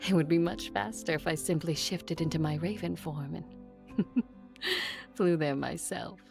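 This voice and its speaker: A woman acting a composed, tender and teasing vampire in an audio drama, with an intimate delivery and a husky voice. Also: low-pitched, measured pace, darkish tone, soft background music. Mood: fond, confident. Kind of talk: first-person audio drama acting and narration.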